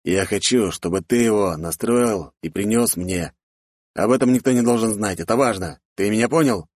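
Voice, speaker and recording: A man's voice: lowish voice, moderate pace, darkish tone, no echo, clean studio sound, voice pushed up, close to an everyday voice. A man voicing a stern, protective middle-aged count with an authoritative manner in a fantasy audiobook. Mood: serious, secretive. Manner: authoritative, commanding.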